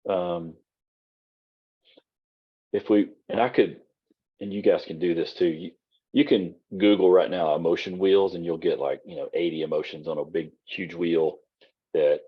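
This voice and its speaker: Low husky man lecturing casually. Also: fairly even intonation, moderate pace, pauses often, dull audio. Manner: casual, rambling, many fillers and restarts. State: losing his voice. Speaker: college instructor. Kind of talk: online class lecture.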